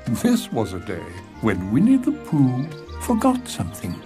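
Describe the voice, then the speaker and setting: A genial cartoon storybook narrator, playful and theatrical. The delivery is slow, with enormous swings in pitch. Music runs clearly underneath. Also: wildly swooping pitch. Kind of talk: scripted children's story narration.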